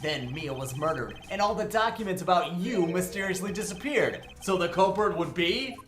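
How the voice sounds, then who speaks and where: A woman playing a wisecracking young male lawyer in a comedic game dub. The voice sits low and swings widely in pitch, sarcastic and theatrical, with a roomy sound. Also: expressive, moderate pace, slight background noise, lightly acted. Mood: accusing, smug. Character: young male defense lawyer, cocky, wisecracking, easily flustered.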